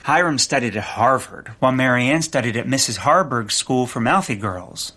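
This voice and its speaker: A man's voice: mid-range voice, expressive, moderate pace, pushed and bright. A man playing a naive, earnest, rambling rich birdwatcher in a comic audio drama. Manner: earnest, obliviously literal, rambling.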